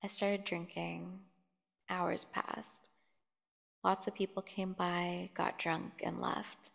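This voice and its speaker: A woman playing a seductive, dangerous captive vampire in an ASMR fantasy horror roleplay, teasing and theatrical, with a creaky voice, speaking straight to the listener. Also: fairly low pitch, flat delivery, a bit bright, exaggerated voice. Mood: bitter recollection. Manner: teasing, slow, theatrical, addressing the listener directly. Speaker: audio roleplay performer. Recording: long echoing reverb.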